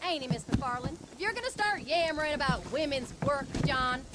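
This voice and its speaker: High-voiced, salty American frontier wife. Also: female voice, animated, fairly quick, very noisy. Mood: wry, teasing. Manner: salty, plain-spoken. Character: tough, sharp-tongued frontier ranch wife, female. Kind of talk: dramatic video game acting.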